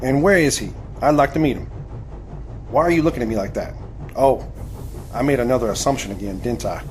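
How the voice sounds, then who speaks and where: A man's voice: medium pitch, fairly quick, slight background noise, natural voice. An actor playing a courteous, bewildered nineteenth-century man with hesitant, old-fashioned formality in an audio drama. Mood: curious, sheepish. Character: polite, bewildered nineteenth-century American man, naive newcomer.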